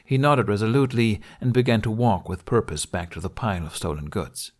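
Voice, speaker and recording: A male audiobook narrator with a slightly low voice. He reads scripted fiction in a dramatic storytelling style. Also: expressive, brisk.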